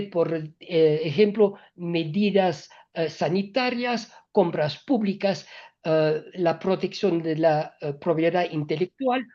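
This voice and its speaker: A woman for whom Spanish is not a first language gives a prepared talk at a business panel, stopping often on filler sounds and restarting phrases. Her voice is low and mellow, and the recording sounds dull and muffled. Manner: halting, many filler sounds and restarts, non-native grammar slips. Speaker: EU trade representative speaking at a business panel. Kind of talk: hesitant panel talk on trade policy.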